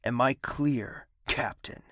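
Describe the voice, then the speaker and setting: A man playing a brooding, menacing young prince in an audio drama, speaking in a measured, gravelly, husky voice. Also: mid-range voice, fairly bright tone, dry recording, studio quality. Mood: cold menace. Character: brooding, menacing young prince, tender toward his mother.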